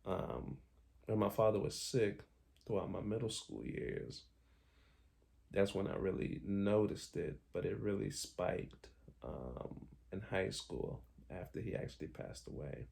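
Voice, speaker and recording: Young man, born in Haiti, deep voice with heavy fry, candid confessional host. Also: animated, a little slow, a little mellow. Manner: frequent ums, candid, self-correcting. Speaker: podcast host telling his own story. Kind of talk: reflective personal storytelling.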